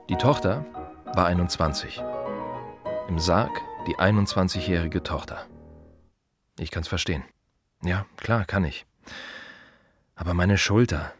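An actor playing a plain-spoken, reflective gravedigger in a radio play monologue, talking to the listener in short, repetitive, wry phrases. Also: male voice, low voice.

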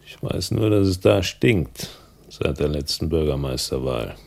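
An actor playing a hard-boiled, wry private detective with a laconic manner in a radio crime drama. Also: male voice, a bass voice, animated, a little slow, vocal fry, a little mellow, no room sound, full character voice. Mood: dry, sceptical. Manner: dry, laconic. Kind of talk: German radio crime drama with first-person narration.

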